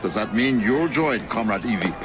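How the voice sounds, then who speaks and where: An actor playing an earnest, persuasive German political activist in a video game, speaking formally with a German accent. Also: male voice, fairly low pitch, animated, moderate pace, background noise, close to an everyday voice. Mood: hopeful, persuasive. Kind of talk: video game cutscene acting.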